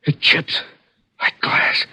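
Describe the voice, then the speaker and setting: An actor playing a tormented, desperate husband in a halting, intense 1940s radio thriller style. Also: male voice, pushed and bright. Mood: bitter, shaken. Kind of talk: 1940s radio thriller first-person narration and acting.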